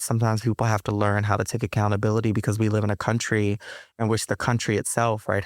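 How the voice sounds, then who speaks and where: A woman's voice: a bass voice, vocal fry, no echo, studio quality. A woman guest on a podcast interview, speaking thoughtfully with frequent fillers and restarts, laughing now and then.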